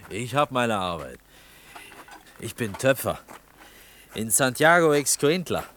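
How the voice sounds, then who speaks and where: A man's voice: mid-range voice, big pitch swings, slow, fairly bright tone, a little hiss or hum. An actor in a German radio play, playing an earnest, insistent young Mexican potter searching for his cousin. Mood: guarded, insistent.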